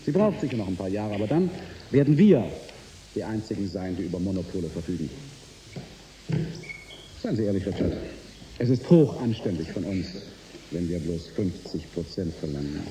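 An actor playing a suave, condescending corporate negotiator in a German radio drama. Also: male voice, deep voice, expressive, normal speed, pauses often, crowd noise, poor audio. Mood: condescending, assured. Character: smug, hard-bargaining male corporate negotiator.

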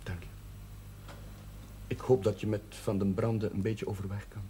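Actor doing serious caring priest guy, soft dark mellow voice, old tv drama, bit noisy. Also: on the low side, flat delivery, moderate pace, lightly acted. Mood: earnest, concerned. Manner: gentle, serious, concerned. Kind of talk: naturalistic television drama acting. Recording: noisy old television soundtrack.

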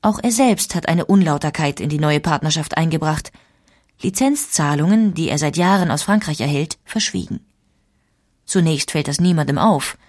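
Low-voiced female documentary narrator. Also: lively intonation, normal speed, a little mellow, no echo, clean studio sound.

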